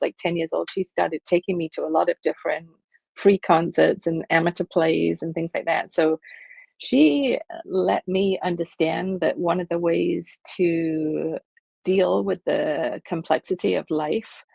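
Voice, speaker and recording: Woman in her fifties, heavy vocal fry, breathy rasp, dry close sound, thoughtful with many pauses. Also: on the low side, dark tone, dry recording. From England, Liverpool. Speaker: film studies professor, guest. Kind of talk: conversational interview answers.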